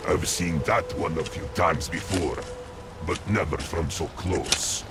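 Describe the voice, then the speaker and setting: Man playing a deep, slow hulking ogre soldier. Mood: earnest. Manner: blunt, simple phrasing. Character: slow, simple, loyal hulking ogryn soldier, quick to anger. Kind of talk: science-fiction audio drama acting. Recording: noisy battlefield and vehicle sound bed.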